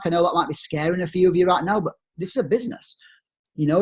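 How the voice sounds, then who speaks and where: Man, broad yorkshire accent, slightly higher pitch, upbeat sales pitch, rhetorical questions, young adult. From Yorkshire, England. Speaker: network marketing presenter. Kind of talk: screen-share sales presentation.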